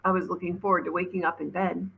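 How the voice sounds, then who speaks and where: A woman's voice: on the low side, flat delivery, quick pace, vocal fry, husky, narrow, tinny audio, full character voice. A woman voicing a frightened, impulsive young boy with urgent questions in a storybook reading. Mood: alarmed, questioning.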